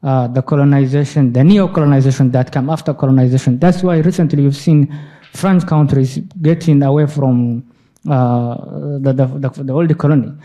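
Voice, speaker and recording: Man from Somalia with a dark voice. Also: mid-range voice, steady tone. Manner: halting, many fillers and restarts. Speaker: audience member asking a question. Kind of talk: lecture Q&A comment.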